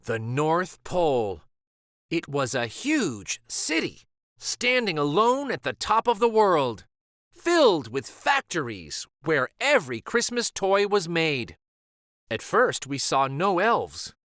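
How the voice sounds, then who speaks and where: A man reading a children's picture book aloud as a storyteller, in an expressive, deliberate manner. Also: on the high side, dry recording, studio quality.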